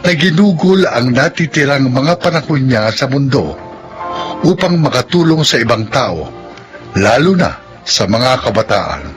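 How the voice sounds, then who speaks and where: A man's voice: medium pitch, very animated, measured pace, music in the background. A man with a Filipino accent hosting and narrating in a dramatic, scripted classic radio style.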